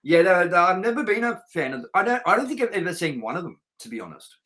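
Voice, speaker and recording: Emphatic man with a slightly high voice. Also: big pitch swings, quick pace, bright, forceful tone. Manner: emphatic, opinionated. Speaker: co-host of a film review show. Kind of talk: casual, sweary film review chat.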